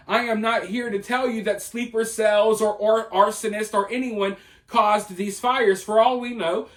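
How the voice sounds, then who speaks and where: An American man giving opinion commentary to viewers in an emphatic, conversational manner, often rhetorical. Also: sits high. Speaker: online news commentator.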